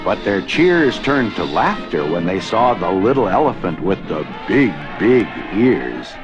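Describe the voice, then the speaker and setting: A man narrating a children's read-along storybook in an expressive, deliberate storytelling manner. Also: sits low, highs cut off. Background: music.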